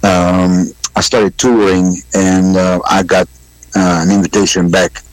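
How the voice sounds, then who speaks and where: Halting blues guitarist. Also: male voice, low voice, level tone, dry recording, rough recording. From Hungary. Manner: halting, many fillers and restarts. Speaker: blues musician guest. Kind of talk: radio interview.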